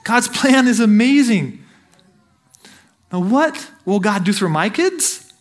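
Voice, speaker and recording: A man with a notably high voice delivers a sermon from the pulpit. He grew up in Brazil and speaks as a missionary in his thirties, earnest and exhorting. He keeps turning to his listeners with direct questions. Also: sing-song, slow. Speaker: missionary preacher.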